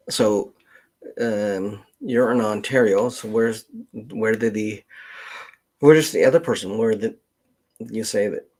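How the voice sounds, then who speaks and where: A man with a gravelly, husky voice, hosting a casual question-and-answer chat and haltingly thinking aloud. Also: lowish voice. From Canada. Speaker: host and genealogist taking questions.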